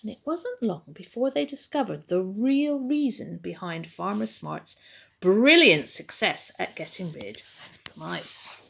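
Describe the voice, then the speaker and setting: Female storybook reader, slow and theatrical, with creaky edges, for bedtime tales. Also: medium pitch. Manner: dramatic, expressive, deliberately paced. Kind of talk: animated children's bedtime story reading.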